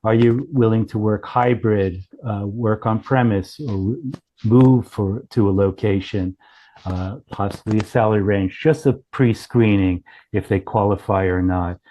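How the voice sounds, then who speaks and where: Guy with a lowish really mellow voice walking thru a product demo, explains stuff, corrects himself a lot. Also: steady tone, a little slow, poor audio. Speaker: company founder presenting his product. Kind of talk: informal product presentation.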